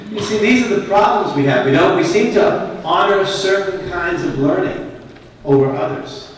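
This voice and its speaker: A man's voice: mid-range voice, slight background noise. A man with a Boston accent giving a conversational, emphatic keynote at a conference and putting questions to the audience. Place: large conference hall. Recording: echoey hall, voice from far off.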